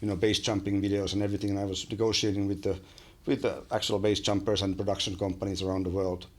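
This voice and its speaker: A man in his forties with a Finnish accent speaks in a lowish register as a podcast guest. He talks with frequent ums and restarts, close to a studio microphone in a small bright room. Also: flat delivery, fast talker. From Finland. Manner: hesitant, many fillers and restarts. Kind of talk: casual interview chat. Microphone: studio mic on boom arm.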